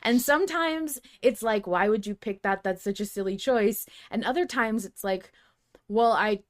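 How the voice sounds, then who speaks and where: A woman hosting a podcast, chatting casually and debating in an animated, playful way. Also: medium pitch, moderate pace. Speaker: podcast host. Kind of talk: casual chat about an audio drama.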